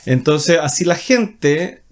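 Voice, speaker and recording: A man's voice: a little high, animated, slow pace. A man with a Chilean Spanish accent talking casually and rambling, with many fillers and self-corrections as he explains his path as a writer. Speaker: writer and channel host. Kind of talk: casual monologue.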